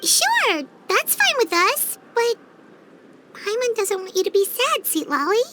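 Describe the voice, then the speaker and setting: A woman voicing a small childlike fairy guide in a video game, squeaky, eager and caring. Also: sing-song, measured pace, heavy background noise, exaggerated voice. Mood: concerned. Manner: refers to self in the third person, eager. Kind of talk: video game character acting. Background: game music.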